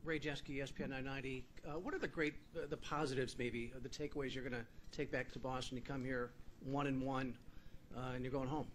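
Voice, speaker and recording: Male radio reporter, halting question, press room, faint noise. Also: medium pitch, steady tone. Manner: halting, with fillers.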